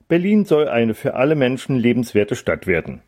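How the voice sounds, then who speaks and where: A male radio host with a mellow, dark voice. He reads a scripted introduction and then asks interview questions at a measured, even pace. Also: medium pitch. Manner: measured, read-aloud delivery.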